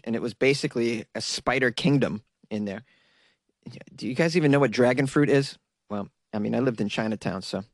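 A man's voice: medium pitch, no room sound. Sarcastic man riffing on news, dry close mic. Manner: sarcastic, rambling, jokey asides to viewers. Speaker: solo news commentary host. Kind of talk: casual commentary reading news articles.

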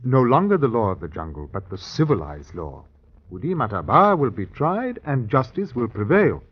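A man's voice: mid-range voice, big pitch swings, normal speed, breathy edge. An actor playing a patient, condescending English police inspector in colonial times, with old-boy politeness, in radio drama style. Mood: firm, polite. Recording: muffled old radio recording.

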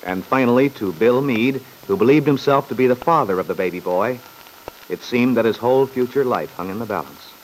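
A man's voice: medium pitch, a little fast. A man narrating an old-time radio serial in a solemn, formal, dramatic style. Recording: noisy vintage radio recording.

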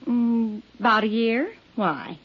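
An actress playing a nosy but polite apartment house manager, chatty and inquisitive, in a 1950s radio drama. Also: female voice, a little high, takes it slowly, husky. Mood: polite, curious. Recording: dull 1950s radio recording.

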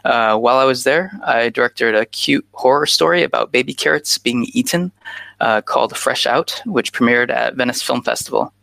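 A man's voice: medium pitch, normal speed, vocal fry, pushed and bright, dry recording. A man in his thirties, from Chicago, giving a prepared talk in an earnest, explanatory way with many filler sounds. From Chicago, USA. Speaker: conference speaker. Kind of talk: prepared talk over a remote connection.

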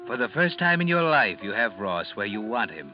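An actor playing a sardonic, taunting narrator who speaks straight to the heroine in an ominous, dramatic old-time radio style. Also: male voice, lowish voice, big pitch swings, normal speed, over music. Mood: sly, taunting. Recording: muffled old radio recording.

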